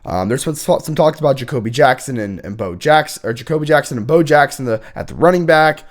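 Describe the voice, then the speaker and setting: A young man hosts a casual college football show while sick, his voice hoarse and worn thin. He rambles, restarts sentences and stops to clear his throat, keeping his pitch in an ordinary middle range. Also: animated, quick pace. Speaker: host. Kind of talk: casual sports commentary.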